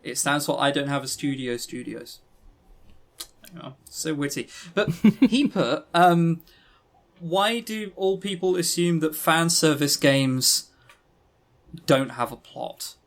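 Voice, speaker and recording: A man co-hosting a podcast in casual chat, with a sarcastic, sweary, animated way of talking. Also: on the high side, takes it slowly, gravelly. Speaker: podcast co-host. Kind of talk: casual chat reviewing visual novels.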